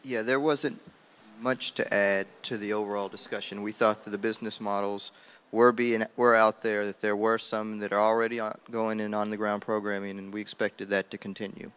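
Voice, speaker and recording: Flat lowish guy reporting for his table, some room ambience behind him. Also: moderate pace, a little hiss or hum. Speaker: group spokesperson reporting back. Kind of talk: impromptu roundtable readout.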